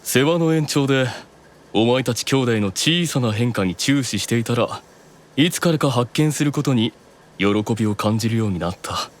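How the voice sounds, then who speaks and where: A man's voice: mid-range voice, animated, natural voice. Composed, proud demon eldest brother, played by a man. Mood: quietly absorbed. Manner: composed, authoritative, deliberate explaining. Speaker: voice actor. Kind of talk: anime audio drama dialogue.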